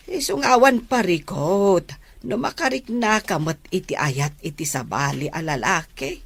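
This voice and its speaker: A woman's voice: deep voice, no echo, heavily acted. A woman playing a caring middle-aged mother in a radio drama, giving tender, earnest counsel. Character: gentle, caring middle-aged mother advising her daughter.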